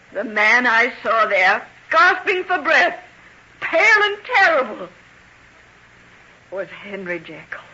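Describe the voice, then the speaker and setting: An actress playing a terrified young woman in an old-time radio melodrama, tremulous and halting as she pleads to be believed. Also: bright, forceful tone, full character voice. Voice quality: high, tremulous voice. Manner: anguished, breathless, halting dramatic delivery. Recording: muffled, noisy vintage radio recording.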